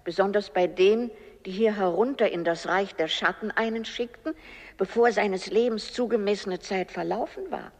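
A woman's voice: medium pitch, natural voice. Kindly old woman in a reverberant hall acted. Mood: patient, explaining. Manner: gentle, measured, plain-spoken. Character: kindly, patient, humble old woman among the dead. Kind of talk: German radio play acting.

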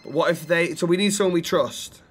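A man's voice: on the high side, brisk, breathy edge. A man streaming improvised role-play in an online game, talking bluntly with plenty of swearing and exasperation.

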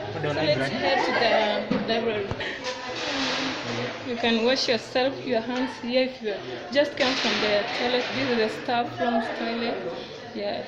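A young woman from Kenya giving a casual walking tour of her college, talking to viewers as guys while filming on her phone indoors. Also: fairly high pitch. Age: young adult. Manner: addresses viewers as guys, chatty and repetitive. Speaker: student vlogger. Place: college corridors and classrooms, indoors. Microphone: handheld phone camera. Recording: phone recording with loud crowd noise. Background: noisy crowd of students.

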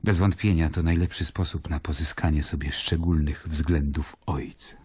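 An actor playing a stern, sceptical Roman governor in an audio drama, measured and ironic as he questions and narrates. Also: a bass voice, steady tone, heavy background noise. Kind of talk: literary audio drama acting.

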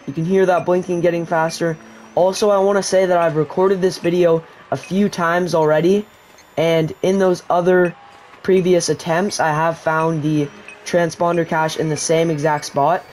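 A man's voice: a little high, moderate pace, slight background noise, poor audio. A man giving a casual, rambling voice-over tutorial for a survival video game, explaining as he plays. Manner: relaxed, rambling explanation with restarts. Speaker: gaming YouTuber.

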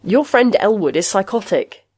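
Lady doing a diplomatic investigator guy in an audiobook, polite but urgent, lively intonation. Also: mid-range voice, moderate pace, husky, no echo, voice pushed up. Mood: impatient. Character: earnest, diplomatic male investigator, losing patience.

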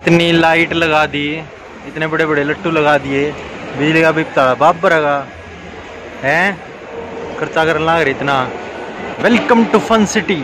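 Haryanvi guy in his 20s, playful wisecracking vlogger, bit high-pitched, recorded on a phone over heavy mall noise. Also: unhurried, pauses often. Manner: chatty, teasing, wisecracking. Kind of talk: casual joking vlog commentary. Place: large indoor shopping mall.

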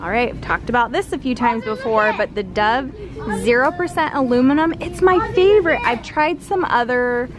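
A woman's voice: fairly high pitch, moderate pace. A woman in her thirties chatting casually while she films her grocery shopping in a large store, reading out prices. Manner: chatty, animated, pricing items aloud. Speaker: family vlogger. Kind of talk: casual grocery haul vlog. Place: large store aisle indoors. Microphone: handheld camera at arm's length.

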